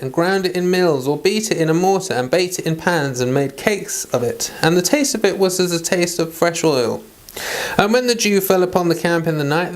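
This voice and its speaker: A medium-pitched voice hosting a homely devotional read-along. The delivery moves between formal scripture reading and informal, chatty talk, as someone reading aloud for themselves and for listeners. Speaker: host reading the Bible aloud.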